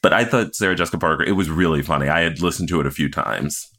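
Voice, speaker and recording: A man co-hosting a podcast in casual comedic recap chat, playful, crude and quick to swear. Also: extremely low pitch, pushed and bright. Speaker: podcast co-host.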